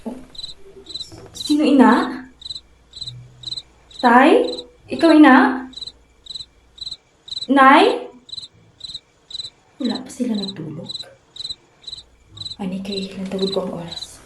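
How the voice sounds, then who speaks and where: A woman's voice: fairly high pitch, expressive, slow pace, long pauses, noisy background. A woman playing an anxious young student daughter in an amateur radio drama, with a Visayan Filipino accent. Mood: frightened, confused. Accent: Filipino, Western Visayas. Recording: echoey, loud music bed. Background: loud music.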